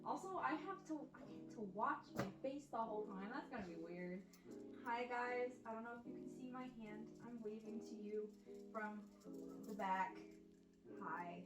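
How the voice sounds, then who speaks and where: Young woman, high voice, awkward rambling vlogger. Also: moderate pace, over music, low-quality recording. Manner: awkward, self-deprecating, giggly, rambling. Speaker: audio roleplay voice creator and vlogger. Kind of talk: casual personal update vlog.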